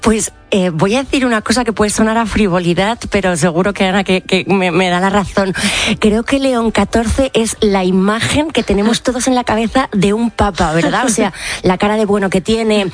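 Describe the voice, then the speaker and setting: Female radio contributor, mid-pitched, chatty commentary with halting restarts. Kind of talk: conversational live commentary.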